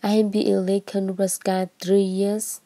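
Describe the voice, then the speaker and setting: A teenage girl from Burma reads her personal story as a high school student, in careful, simple phrasing. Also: level tone, normal speed, mellow. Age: late teens.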